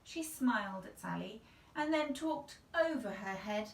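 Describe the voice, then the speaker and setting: A woman's voice: medium pitch, animated, unhurried, vocal fry. A woman in her thirties reading a children's story aloud as a storyteller. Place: small tiled-looking bathroom indoors. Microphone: camera a couple of metres away. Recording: echoey small room, distant mic.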